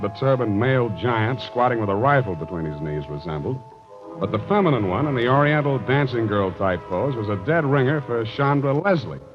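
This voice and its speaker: A man's voice: mid-range voice, lightly acted. Wry hard-boiled detective played by a man, laconic wisecracker, muffled 1940s radio drama with music behind. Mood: puzzled, dry. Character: wry hard-boiled male private detective, smitten, unruffled. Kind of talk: 1940s radio detective acting, narration and dialogue.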